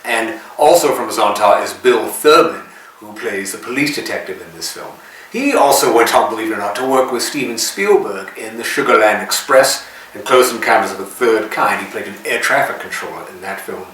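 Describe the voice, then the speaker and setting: Very echoey, bright-voiced male movie host. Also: lowish voice, animated, moderate pace. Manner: dry, tongue-in-cheek. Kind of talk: casual film trivia presenting. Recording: very echoey, distant from mic, faint noise.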